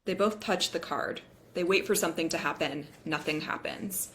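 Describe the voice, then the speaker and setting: A woman with a slightly low voice serves as narrator at a table read. She reads out stage directions as scripted narration. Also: moderate pace, slight background noise.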